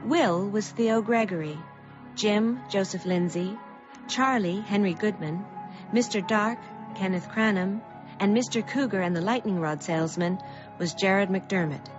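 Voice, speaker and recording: A woman announcer delivers scripted radio credits at a medium pitch. Music plays clearly behind her, and the recording is a little dull. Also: unhurried.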